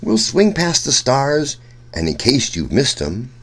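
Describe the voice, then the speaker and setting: A man reading a rhyming children's picture book aloud in an expressive, sing-song storyteller's way. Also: mid-range voice, a little slow. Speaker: children's story reader, host.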